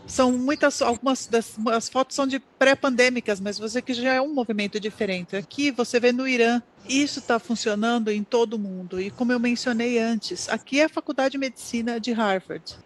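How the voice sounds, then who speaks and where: Simultaneous interpreter, live lecture, stop-start delivery, repeated words, middle pitch. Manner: halting, frequent restarts and repeated words while keeping up with the speaker. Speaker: simultaneous interpreter at an education conference talk.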